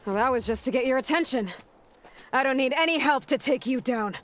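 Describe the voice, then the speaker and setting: A woman's voice: high voice, brisk, a bit bright, a little hiss or hum. A woman voicing a brave, determined young warrior heroine in a video game, resolute and often murmuring to herself. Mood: defiant.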